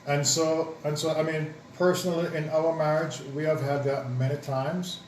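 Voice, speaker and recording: A man co-hosting a casual chat about marriage, speaking thoughtfully and haltingly with many ums and restarts. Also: fairly high pitch, little pitch movement. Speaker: co-host, married partner. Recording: roomy echo, distant mic, faint noise.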